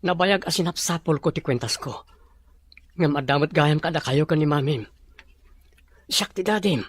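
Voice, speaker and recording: A man playing a wealthy young father in an Ilocano drama, speaking gently and persuasively to his family. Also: on the high side, normal speed, slightly rough, no room sound. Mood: tender. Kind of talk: Ilocano radio-style drama acting.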